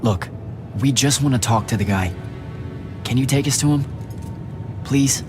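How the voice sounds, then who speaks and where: A man's voice: medium pitch, a little slow, over music, close to an everyday voice. A man playing an earnest, plain-spoken young soldier hero in a video game cutscene, casual and direct. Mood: earnest, insistent.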